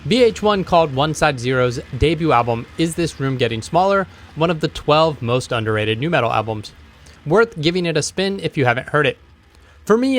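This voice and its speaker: A man's voice: fairly high pitch, a bit bright. A man hosting a music podcast, reading his intro and chatting with a guest in an upbeat, conversational way. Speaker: podcast host. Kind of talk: casual podcast intro and interview chat.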